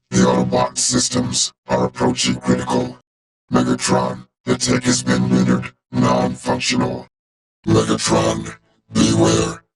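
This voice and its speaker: A man voicing a cold, logical giant robot officer in a video game, with a gravelly synthetic monotone, a robotic filter and clipped, formal, deadpan delivery. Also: lowish voice, heavily acted, poor audio. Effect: robotic vocoder filter. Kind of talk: video game character voice acting.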